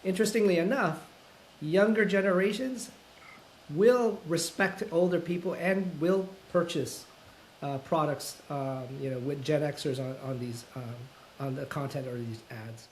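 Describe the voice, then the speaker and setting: A man's voice: fairly high pitch, a little slow, a little hiss or hum, rough recording. A man in his fifties, a guest in a casual interview, speaking thoughtfully and hesitantly with many fillers and restarts. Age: 50s. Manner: self-described introvert. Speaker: guest, full-time content creator.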